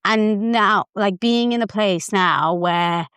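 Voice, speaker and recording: A woman chatting casually as a podcast co-host, telling things in an animated, conversational way. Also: mid-range voice, slow pace, clean tone, no echo.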